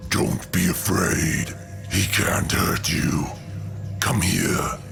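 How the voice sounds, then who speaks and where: Menacing alien tyrant, heavy gravel, slow delivery, hoarse, motion comic villain. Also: male voice, low voice, over music, full character voice. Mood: stern, protective.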